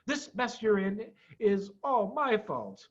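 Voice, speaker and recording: A man as a confident sly father fox, animated. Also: high voice, slow pace, dull audio, voice pushed up, exaggerated voice. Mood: apologetic. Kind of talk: storybook character voice.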